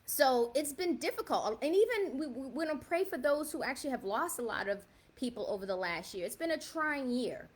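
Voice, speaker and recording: A woman's voice: high-pitched, animated, brisk, few pauses. A woman in her fifties, raised in the South Bronx, hosting a casual livestream and talking straight to her viewers, often checking in with a "right?". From South Bronx, New York. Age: 50s. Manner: chatty, keeps asking viewers "right?". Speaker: livestream host.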